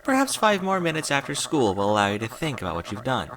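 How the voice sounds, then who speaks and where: A man's voice: medium pitch, big pitch swings, fast talker. A man voicing a stern female pony schoolteacher who speaks in a careful, controlled way. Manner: careful, patient, then sharp and scolding.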